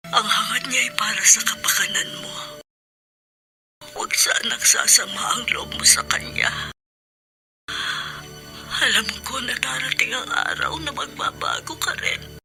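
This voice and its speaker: A sorrowful Filipino mother pleads in a radio drama. She speaks very slowly and tearfully, in a piercingly bright voice. Music plays underneath. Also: fairly high pitch, full character voice. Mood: tender, pleading. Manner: slow, tearful, breathy pleading. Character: loving, sorrowful mother pleading with her grown son. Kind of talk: Tagalog radio drama acting. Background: background music.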